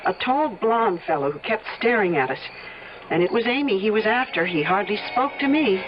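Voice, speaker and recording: A woman's voice: mid-range voice, animated, moderate pace, slight background noise, natural voice. An American woman playing a wary, anxious young woman, polite but questioning, in an old-time radio drama. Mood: anxious, shocked. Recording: dull old radio recording with music behind.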